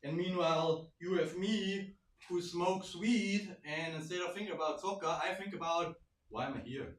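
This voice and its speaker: A man's voice: sits high, normal speed. A young man from Germany with a German accent, doing a self-deprecating open-mic stand-up set and chuckling at his own jokes. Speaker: stand-up comedian. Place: small indoor venue stage. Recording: roomy, distant mic, faint noise.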